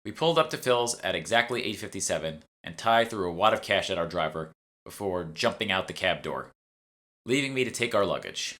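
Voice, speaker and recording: A man's voice: deep voice, sing-song, fairly quick, sharp tone. A man reading a first-person audiobook narration from a script. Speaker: author reading own audiobook.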